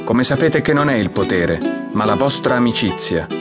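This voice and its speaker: An actor playing a devoted, respectful secretary to a general, measured and deferential, in an audiobook drama. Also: male voice, mid-range voice, animated, lightly acted. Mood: solemn, reading. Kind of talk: audiobook drama, reading aloud formally. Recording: guitar music under the voice.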